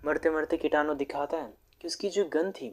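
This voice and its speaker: A woman's voice: low voice, normal speed, slight background noise. A woman reading a scripted film recap as a narrator, telling the story step by step.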